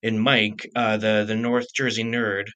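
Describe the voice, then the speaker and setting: A man in his thirties hosting a podcast, chatting casually in a halting way with frequent false starts. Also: fairly low pitch, level tone, pushed and bright, dull audio. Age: 30s. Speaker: podcast host. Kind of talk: casual conversational interview.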